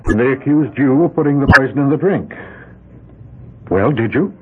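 An actor playing a stranded lawyer who keeps pressing with questions in a 1970s radio drama. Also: male voice, mid-range voice, expressive, moderate pace, close to an everyday voice. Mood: probing. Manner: probing, insistent questioning. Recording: phone-like lo-fi old radio broadcast.

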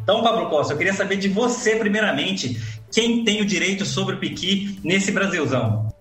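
Brief: man from Minas Gerais, talk-show host, high voice, roomy studio sound, easygoing delivery. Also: animated, moderate pace, fairly bright tone, soft background music. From Minas Gerais, Brazil. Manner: casual, conversational. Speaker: radio host.

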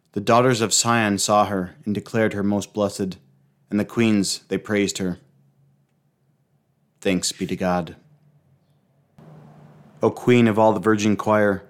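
A man reciting liturgical prayers, psalms and hymns in a solemn, measured, reverent manner. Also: lowish voice, clean tone.

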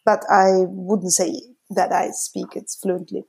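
A woman's voice: mid-range voice, slow pace, gravelly, husky. A woman with a Russian accent, a podcast guest telling stories in casual conversation, with many fillers as she talks. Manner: lively storytelling with frequent fillers.

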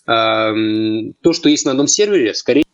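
A man's voice: lowish voice, normal speed, no room sound, muffled recording. A man of twenty on a casual podcast, explaining things step by step. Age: 20s. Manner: explanatory, lays out points step by step. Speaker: podcast co-host.

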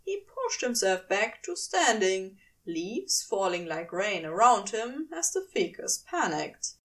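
A woman's voice: mid-range voice, big pitch swings, slow pace, a bit bright, far from the mic. A woman reading fiction aloud as dramatic scripted narration. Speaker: fiction reader.

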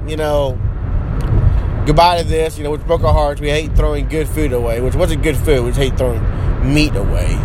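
A man's voice: sits high, background noise. A man in his late thirties chatting casually to his viewers, rambling with lots of restarts and chuckles. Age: 30s. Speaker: vlogger.

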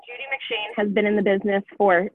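A woman's voice: mid-range voice, fairly quick, dry recording. Upbeat woman host on a tinny line. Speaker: podcast host, real estate agent. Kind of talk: casual podcast chat. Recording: tinny dry phone-like sound.

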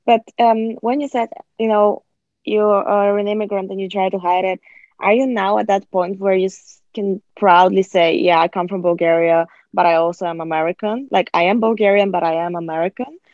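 A woman hosting a podcast interview, asking thoughtful questions in a measured way. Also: medium pitch, fairly even intonation, a little mellow, no room sound, muffled recording. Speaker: podcast host. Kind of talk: interview chat.

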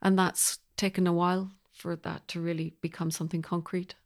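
Middle aged woman getting interviewed, lowish voice, thoughtful and measured, talking about her creative work. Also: level tone. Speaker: composer and interview guest. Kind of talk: reflective interview conversation.

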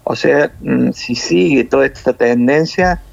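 Gravelly Cordoban man on a muffled line. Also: mid-range voice, no echo. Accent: Cordoban Argentine Spanish. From Córdoba, Argentina. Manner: measured, explanatory, many hesitations. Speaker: nurse interviewed by phone. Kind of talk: phone interview.

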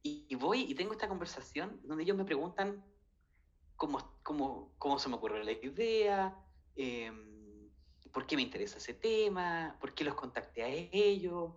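A man's voice: fairly high pitch, animated, moderate pace. Chilean man in his thirties, hesitant guest recounting events, dull lo-fi recording. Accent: Chilean Spanish. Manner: hesitant, many fillers and restarts. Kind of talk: casual storytelling.

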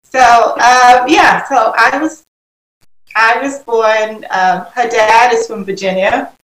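A woman's voice: a little high, slow pace, a bit bright. A middle-aged woman from Trinidad, speaking as a guest in a conversational, reminiscing way. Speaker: podcast guest. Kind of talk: conversational personal recollection. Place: remote, on a video call. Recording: echoey video call audio.

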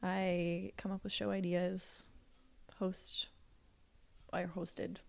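A woman's voice: fairly low pitch, little pitch movement, very slow, creaky. A young woman from Alberta, hosting a casual podcast chat with her team and often restarting her sentences. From Alberta, Canada. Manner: chatty, restarts sentences, asks the questions. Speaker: podcast host and producer.

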